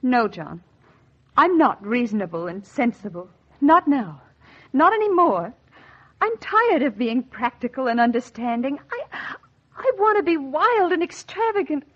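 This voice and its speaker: Wistful, bitter housewife, high voice, melodramatic radio drama delivery, muffled vintage recording with music. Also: female voice, very animated, measured pace, a bit bright, exaggerated voice. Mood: pleading, desperate. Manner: intense, melodramatic, repeating phrases for emphasis. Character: wistful, embittered housewife yearning for one luxury. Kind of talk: 1940s radio acting, dramatic monologue and dialogue.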